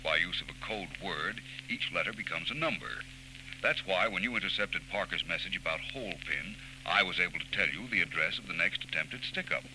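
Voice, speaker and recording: Through heavy hiss and hum of an old radio recording comes a very low-voiced private detective. He is suave and sure of himself, laying out his reasoning with precise, cool confidence in 1940s radio style. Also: animated. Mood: cool, assured. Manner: precise, unhurried, coolly confident explanations. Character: suave, self-assured male private detective explaining his case.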